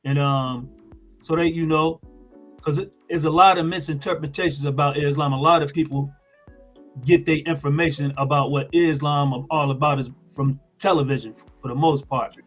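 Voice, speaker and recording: A man's voice: fairly high pitch, fairly even intonation, normal speed, fairly bright tone, soft background music. An American man speaking as a guest in an interview, explaining things in a plain-spoken, informal way. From United States.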